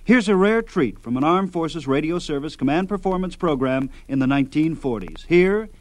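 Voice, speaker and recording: A man's voice: fairly high pitch, expressive, moderate pace. A man hosting a radio program, reading scripted narration that introduces clips from old shows.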